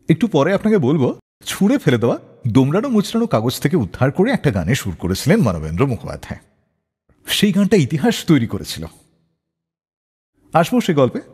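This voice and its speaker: A man hosting a radio show, telling stories dramatically and acting out the dialogue in an animated way. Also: fairly high pitch, breathy edge. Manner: animated, acts out quoted dialogue. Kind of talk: dramatic radio storytelling between songs. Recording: long reverb on the voice.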